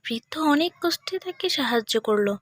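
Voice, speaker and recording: A woman's voice: on the high side, expressive, fairly quick, no echo, clean studio sound. A woman giving scripted narration for a children's cartoon story.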